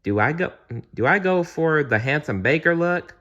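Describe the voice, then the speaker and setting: A man voicing an excitable, sentimental, goofy genie in a theatrical, exclamatory way for a children's storybook. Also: fairly high pitch, normal speed, lo-fi sound, pitched above the performer's own voice. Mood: eager, showy.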